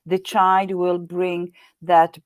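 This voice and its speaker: A Polish woman in her fifties, speaking English as a second language with a clear Polish accent. Her voice sits a little low, recorded dry with no room sound. She talks earnestly as an interview guest, repeating words as she hunts for the right one. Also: little pitch movement, drawn-out words, no room sound. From Poland. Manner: earnest, repeats words while searching for them. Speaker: guest, meditation teacher. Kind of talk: podcast interview.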